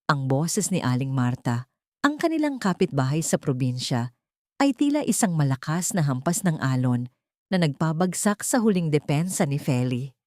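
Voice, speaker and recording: A woman's voice: sits low, a little mellow, dry recording, clean studio sound. A woman narrating a scripted dramatic story in a solemn, emotive manner.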